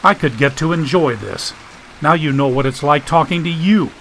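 Weary, short-tempered interrogator read by a male narrator, curt and tense, gravelly edge, audiobook role. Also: on the high side, lively intonation, normal speed, fairly bright tone, a little hiss or hum, pitched above the performer's own voice. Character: weary, irritable man interrogating impatiently.

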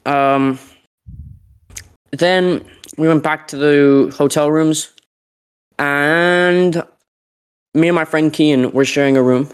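Rambling sick young man, higher voice. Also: fairly even intonation, slow pace, long pauses, clear, resonant tone. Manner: rambling, laughing, lots of fillers and restarts. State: sick, sweating. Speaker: podcast co-host. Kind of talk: casual chat.

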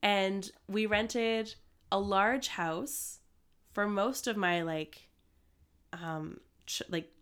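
A woman co-hosting a casual podcast with a stuffy, hoarse voice from a cold. She rambles and fills her speech with "like" and "um". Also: medium pitch, drawn-out words, fairly bright tone. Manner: rambling, many fillers like "like" and "um". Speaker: podcast co-host. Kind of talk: casual chat.

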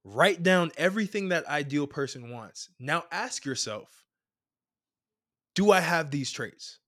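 A man's voice: a little high, expressive, measured pace, a bit bright. A man from Brooklyn, a podcast guest who coaches, giving casual advice in an emphatic way and repeating phrases for effect. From Brooklyn, New York.

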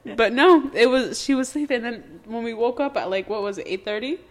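A woman's voice: fairly high pitch, a little fast. A young woman co-hosting a podcast, telling a story in casual chat, laughing often and acting out what people said. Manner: laughs often, acts out quotes. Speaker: podcast co-host.

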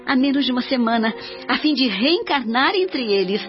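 A woman playing a serene, devoted older mother spirit in a radio drama, speaking in a solemn, measured, instructive way. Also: high voice, lively intonation. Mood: solemn, tender. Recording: dull radio drama track with music.

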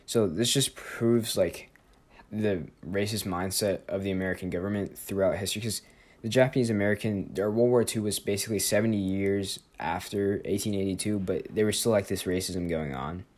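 A man's voice: fairly low pitch, fairly even intonation, fairly quick, a little mellow. A teenage boy hosting a student podcast, talking through a history topic in a loose, rambling way, full of fillers and self-corrections. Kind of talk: casual spoken presentation and interview.

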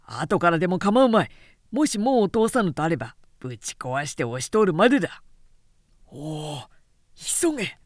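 A woman voicing a rough, impatient male warrior in an audiobook, loyal, blunt and urgent. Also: lowish voice, very animated, normal speed, no room sound, studio quality. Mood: suspicious, urgent.

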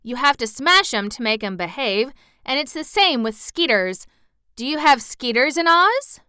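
Woman putting on a blunt, folksy farm aunt, higher-pitched. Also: animated, moderate pace, pushed and bright, smooth, no echo, clean studio sound. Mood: blunt, curious. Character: plainspoken older farm aunt from Kansas. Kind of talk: storybook character voice.

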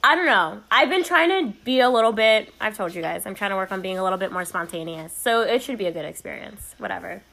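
A young woman chatting casually on a podcast, her bright, sharp tone edged with vocal fry. She often trails off hesitantly and pokes fun at herself. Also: mid-range voice, expressive, fast talker, few pauses. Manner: self-deprecating, hesitant trailing-off. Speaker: podcast co-host. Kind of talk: casual banter.

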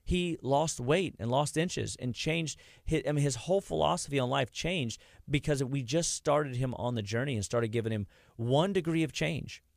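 A man's voice: mid-range voice, moderate pace, gravelly, no echo, clean studio sound. A man, giving a rambling, folksy talk about health as a wellness clinic host. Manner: rambling, folksy, loses his train of thought.

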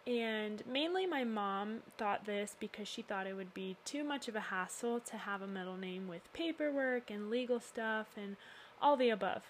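A woman's voice: medium pitch, normal speed, slight background noise. A woman from the United States hosting a podcast, sharing a personal faith monologue in an earnest, reflective way. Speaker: podcast host.

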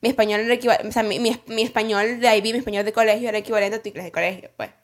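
A young adult woman in casual conversation, chiming in with quick interjections and agreeing replies. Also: a little high, fairly even intonation.

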